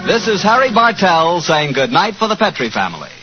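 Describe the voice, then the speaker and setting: A man's voice: high-pitched, wildly swooping pitch, a little fast. A man announcing and reading sponsor messages on a 1940s radio show, polished and upbeat. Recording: muffled old radio broadcast under music.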